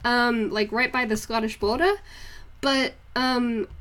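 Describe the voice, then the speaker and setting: A woman's voice: a little high, steady tone, slow, fairly bright tone. A young woman with a mixed northeast English and New Zealand accent, chatting casually and ramblingly to viewers on a livestream, with a giggly, informal manner. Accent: hybrid northeast English and New Zealand. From northeast England, moved to New Zealand as a child. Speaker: art livestreamer.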